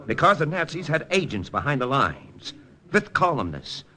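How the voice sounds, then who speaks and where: An actor playing an earnest, persuasive American wartime intelligence lieutenant in an old radio drama, with an urgent, dramatic delivery. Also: male voice, fairly high pitch, sing-song, moderate pace, sharp tone. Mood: urgent, emphatic. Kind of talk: 1940s-50s radio drama acting with first-person narration. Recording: vintage radio recording with faint music.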